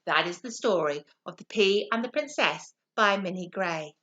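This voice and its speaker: Bright, very animated woman storyteller. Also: fairly low pitch, moderate pace. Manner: encouraging, animated. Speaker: teacher reading to children. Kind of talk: expressive story reading for children.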